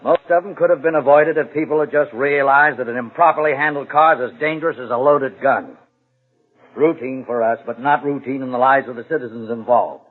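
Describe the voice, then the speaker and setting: Guy playing stern old-time radio police captain, husky, bossy, brisk, tinny recording. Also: medium pitch, natural voice. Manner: authoritative, deliberate. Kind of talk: 1950s radio drama acting.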